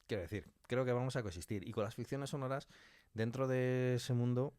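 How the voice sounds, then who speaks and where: A man's voice: mid-range voice, fairly even intonation, fairly quick, a little mellow, clear, resonant tone, no echo, clean studio sound. A middle-aged man with an accent from Spain, answering reflectively in a conversational interview, thinking aloud through examples. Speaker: interviewed audio fiction writer and director.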